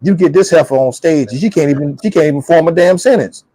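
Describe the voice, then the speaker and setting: Emphatic, higher-voiced man talking politics. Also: quick pace, slightly rough, no room sound. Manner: animated, emphatic, repeats phrases; blunt, colloquial. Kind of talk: opinionated casual political chat.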